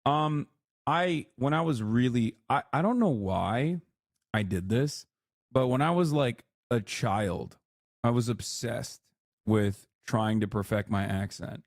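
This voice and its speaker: A man from Turkey speaks with an American English accent in a blunt, animated live-stream chat. Filler words and casual swearing run through his talk. The sound is close and dry, and his voice sits at a middle pitch for a man. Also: slow, dry recording. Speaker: livestream host.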